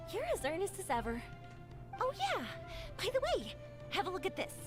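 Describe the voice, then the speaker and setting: A woman's voice: very high voice, huge pitch swings, moderate pace, heavily acted. A woman voicing a cheerful, spirited young fireworks maker in a video game, upbeat and affectionate. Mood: warm. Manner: bright, upbeat, affectionate, giggly. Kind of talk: game voice acting. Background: game music.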